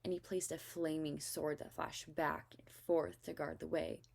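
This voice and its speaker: A woman narrates scripture as a scripted reading. Her voice is notably low for a woman, with plenty of vocal fry. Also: level tone, a little slow. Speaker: scripture reader.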